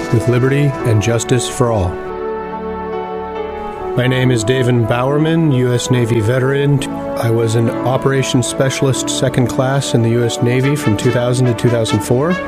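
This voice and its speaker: Steady man, navy veteran. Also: medium pitch, normal speed, over loud music. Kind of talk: reciting the pledge and ad.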